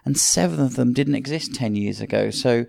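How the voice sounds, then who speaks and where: A man talks casually as a podcast guest, tripping over restarts. His voice is strongly gravelly and recorded dry. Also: mid-range voice, moderate pace, darkish tone, no room sound. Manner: stammering restarts, wry.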